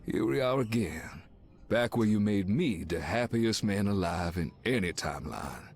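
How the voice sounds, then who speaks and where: Suave Cajun superhero, devoted lover, lowish voice, swooping intonation, tender, video game. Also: male voice, expressive, a little slow, darkish tone. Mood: romantic. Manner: suave, tender, peppered with French endearments. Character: charming, protective, romantic male superhero and devoted husband. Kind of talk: video game character voice acting.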